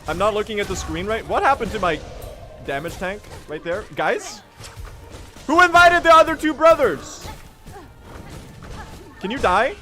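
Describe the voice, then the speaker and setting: A young Swedish man live-streaming a speedrun, chatting with his viewers in a jokey, self-mocking way and bursting into yells at the game. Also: high-pitched, lively intonation, a little slow, pauses often. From Sweden. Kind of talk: live gaming stream chat. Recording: game music loud under the voice.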